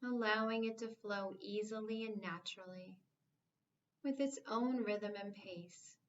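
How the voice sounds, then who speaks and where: Slow, calm woman guiding meditation. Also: medium pitch, lo-fi sound, slight background noise. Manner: calm, soothing, measured with long pauses. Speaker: guided meditation instructor. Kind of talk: guided meditation.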